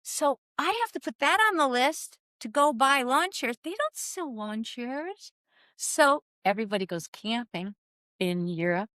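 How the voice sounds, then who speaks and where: Woman in her 60s, lively storyteller, bit high voice, dry close sound. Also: measured pace, no echo. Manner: lively, acts out quoted dialogue. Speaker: former cycling team soigneur, guest. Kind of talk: animated storytelling in an interview.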